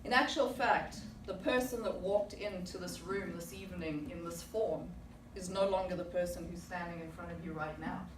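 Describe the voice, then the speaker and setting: Low-voiced woman speaking in an echoey room. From South Africa. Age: 40s. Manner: reflective, rhetorical questions with pauses. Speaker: club speaker. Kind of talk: prepared public speech. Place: meeting room with audience. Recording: echoey room, distant mic, background noise.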